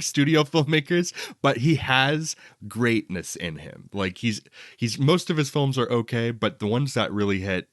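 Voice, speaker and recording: A man with a deep voice chats as a podcast co-host in a very dry-sounding space. His delivery is casual and conversational, opinionated and a little wry. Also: a bit bright, dry recording. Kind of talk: casual, conversational movie chat.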